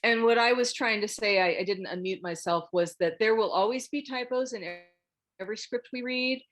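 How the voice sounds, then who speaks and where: A woman's voice: on the high side, brisk, fairly bright tone. Woman moderating a group video call. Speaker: host moderating a script table read. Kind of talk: casual group discussion over video call.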